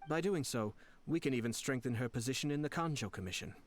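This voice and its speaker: A man voicing a composed, calculating young nobleman in a video game, polite, measured and formal. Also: mid-range voice, animated, a crowd in the background, lightly acted. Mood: coolly calculating. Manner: measured, formal, smooth-talking. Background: soft game music.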